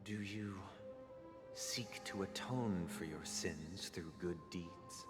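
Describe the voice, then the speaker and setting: An actor playing a solemn, courteous, troubled young man of priestly bearing in a video game, speaking formally and gravely. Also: low-pitched, slow. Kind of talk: dramatic video game voice acting. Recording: long hall reverb under loud music.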